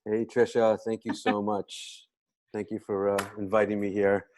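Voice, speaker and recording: A man's voice: lowish voice, level tone, normal speed, a little mellow, slight background noise. An American man giving a casual testimonial talk as a guest speaker, conversational and rambling as he repeats his phrases. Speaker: guest speaker, spine clinic doctor.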